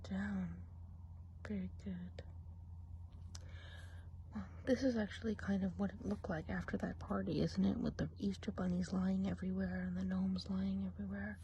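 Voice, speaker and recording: A woman voicing a gossipy woodland witch in a breathy, confiding whisper for an ASMR roleplay. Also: medium pitch, a little hiss or hum, close to an everyday voice, poor audio. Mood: gentle, encouraging. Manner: gossipy, confiding, soft-spoken. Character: gossipy woodland witch giving a whimsical eye exam.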